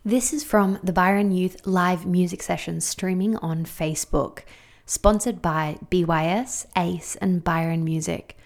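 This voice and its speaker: A woman's voice: fairly low pitch, fairly even intonation, a little slow. A woman reading a short scripted announcement as an announcer. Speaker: announcer crediting session sponsors.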